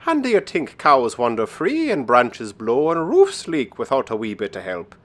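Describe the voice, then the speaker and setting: A man's voice: mid-range voice, wildly swooping pitch, normal speed, fairly bright tone. A man voicing a sly, kindly little leprechaun with a small voice and a lilting Irish accent, as a storybook character. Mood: sly, knowing. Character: wee male leprechaun, sly, kindly and grateful.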